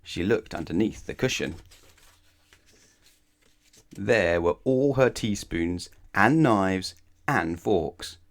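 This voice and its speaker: A man reading a children's bedtime story aloud as narrator, expressively, with dramatic pauses and questions to the listener. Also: sits low, lively intonation, creaky.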